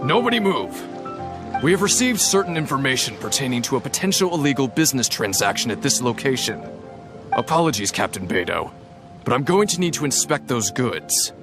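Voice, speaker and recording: A man's voice: mid-range voice, very animated, fairly quick. A man voicing a formal, dutiful guard officer in a video game cutscene. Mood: commanding. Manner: formal, commanding then apologetic. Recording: game music under the voice.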